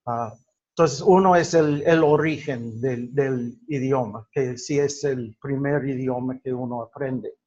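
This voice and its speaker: A man whose first language is English, giving a classroom lecture in Spanish, halting with many fillers and restarts. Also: medium pitch, mellow, highs cut off. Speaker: teacher.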